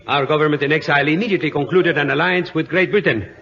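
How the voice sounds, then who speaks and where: An actor playing a courteous, earnest colonel with a ceremonious manner in an old radio drama. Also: male voice, on the high side, fast talker, pushed and bright. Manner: formal, ceremonious. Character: courteous, earnest Spanish colonel bearing news. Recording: dull vintage radio recording.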